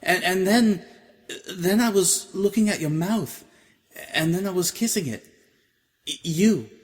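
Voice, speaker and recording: A man's voice: high-pitched, big-room sound, pitched above the performer's own voice. A man voicing a shy, flustered young mare musician who stammers and apologizes. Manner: stammering, halting.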